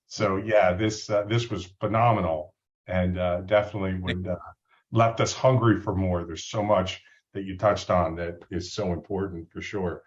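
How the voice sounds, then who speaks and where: A man's voice: deep voice, fairly even intonation, moderate pace. A man speaking as an association's board president in a casual webinar, upbeat and enthusiastic, with frequent ums and uhs. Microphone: audio only on a video call. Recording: dull lo-fi call audio.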